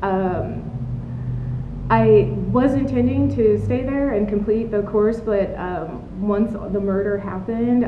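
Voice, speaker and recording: A woman in her thirties from the United States talks in a relaxed interview, rambling and reflective, full of fillers and restarts. Her voice sits at a middle pitch and is caught by a distant camera mic outdoors. The sound is roomy and dull, with a low rumble and faint noise behind it. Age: 30s. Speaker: artist being interviewed. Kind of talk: casual interview conversation. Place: outdoor patio of an art studio.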